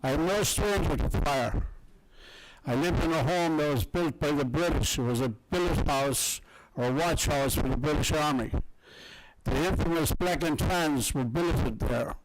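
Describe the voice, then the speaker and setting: A man's voice: a little high, measured pace, noisy background. An older man from Ireland with an Irish accent, a guest telling wry stories and reading his poem at a town meeting. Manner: wry, chuckling storyteller. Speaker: guest poet.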